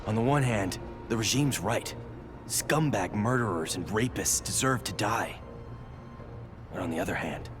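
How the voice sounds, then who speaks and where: A man playing a hard, defiant, gun-toting vigilante antihero in a video game monologue. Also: lowish voice.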